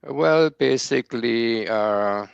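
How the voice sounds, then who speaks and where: Man from Burma, gravelly and low, halting interpreter on a muffled call. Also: dry recording. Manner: slow, hesitant, many fillers. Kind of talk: answering interview questions. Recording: dull, lo-fi call audio.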